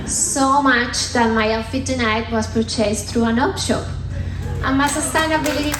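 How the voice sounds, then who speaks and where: A woman's voice: high-pitched, fairly even intonation, normal speed, loud music behind the voice. A woman in her thirties from Colombia with a Colombian accent, giving a playful stand-up style science talk on stage and teasing the audience. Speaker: sustainability manager giving a comedy science talk. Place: theatre stage at a comedy festival. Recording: roomy hall with faint ambience.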